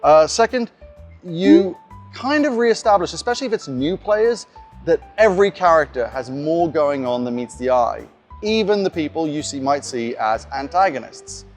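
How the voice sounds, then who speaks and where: A man with a slightly high voice speaks conversationally, like a game master explaining his approach in a casual vlog. Soft guitar music plays behind his voice. His delivery is explanatory and informal. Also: big pitch swings, normal speed. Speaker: game master giving scenario tips.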